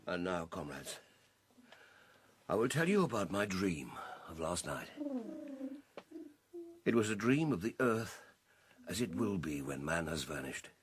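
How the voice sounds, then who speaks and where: An actor playing an old boar, a hoarse and solemn visionary leader making speeches to farm animals in a radio drama. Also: male voice, sits low, big pitch swings, slight background noise. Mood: wistful, solemn. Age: older. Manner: solemn, rhetorical, deliberate.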